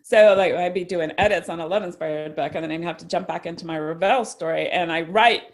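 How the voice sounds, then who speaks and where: A woman novelist chatting casually as an interview guest, halting and self-deprecating in how she talks. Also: fairly low pitch.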